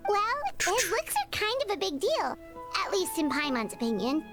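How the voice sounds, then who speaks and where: A woman's voice: very high voice, wildly swooping pitch, moderate pace, exaggerated voice. A woman voicing a tiny, bubbly floating fairy companion who refers to herself in the third person, in a game. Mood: chatty. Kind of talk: game voice acting. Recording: game audio with background music.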